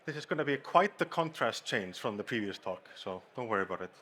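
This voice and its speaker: A man's voice: on the low side, animated, fast, pushed and bright, a little hiss or hum. A man from Iceland with an Icelandic accent, giving a playful, jokey conference talk and bantering with a live audience. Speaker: conference speaker. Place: conference hall stage.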